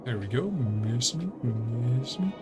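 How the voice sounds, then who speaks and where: A man giving casual, rambling gameplay commentary as a streamer, thinking aloud as he plays, with a creaky voice. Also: medium pitch, expressive, takes it slowly. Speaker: video game streamer. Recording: game music behind the voice. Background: video game music.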